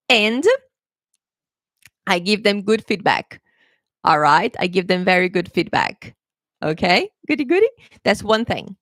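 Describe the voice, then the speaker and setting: A woman English teacher talks through an exam-prep lesson in a relaxed, chatty way, laughing often and checking in with her viewers. Her voice sits at a middle pitch and is recorded close and dry, with no room sound. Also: no echo. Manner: chatty and encouraging, keeps checking in with "okay?"; laughs often, playful pet phrases.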